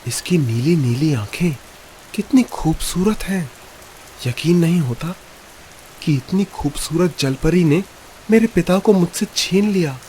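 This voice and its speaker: A man's voice: fairly high pitch, expressive, a little slow, a little mellow, slight background noise, rough recording. A man playing a young, stubborn, suspicious fisherman in a dramatised cartoon story, emphatic and dramatic. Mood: brooding.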